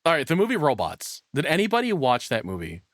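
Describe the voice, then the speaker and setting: A man's voice: fairly high pitch, wildly swooping pitch, fast talker, no echo, studio quality. A tired man casually commentating on a live stream as he reacts to a video, crude, profane and self-deprecating.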